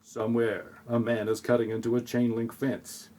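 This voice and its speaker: A man narrating a scripted audio play in a tongue-in-cheek, deadpan way with sarcastic asides. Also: mid-range voice, moderate pace.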